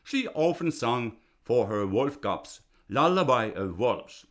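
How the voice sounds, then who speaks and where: An older man from Finland with a Finnish accent, slowly reading a fairy tale he wrote for his grandchildren. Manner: slow and deliberate, with a lively, storybook pitch. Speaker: storyteller reading his own children's book.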